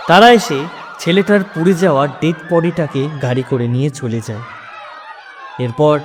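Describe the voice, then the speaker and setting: A man narrating a tragic story in a dramatic, scripted storytelling style. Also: a little high. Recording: noisy recording with long hall reverb.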